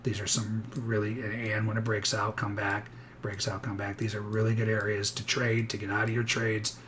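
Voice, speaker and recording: Man, slightly hoarse, lowish voice, chatty live trading commentary with clear noise underneath. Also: little pitch movement, moderate pace. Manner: chatty, thinks aloud, many restarts. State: a little hoarse. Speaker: futures day trader streaming live.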